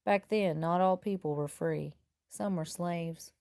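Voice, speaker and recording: A woman reading a children's picture book aloud like a teacher, with expressive, deliberate and emphatic phrasing. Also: on the low side, gravelly, dry recording, clean studio sound. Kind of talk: expressive children's storybook read-aloud in first person.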